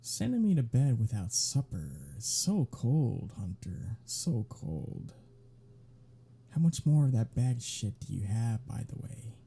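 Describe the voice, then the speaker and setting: A man playing a sly, flirtatious vampire in an ASMR roleplay, with a sardonic, teasing drawl that often drops to breathy murmurs. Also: medium pitch, big pitch swings, takes it slowly, full character voice. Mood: coaxing, teasing. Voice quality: husky, breathy, dark. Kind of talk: ASMR roleplay audio drama.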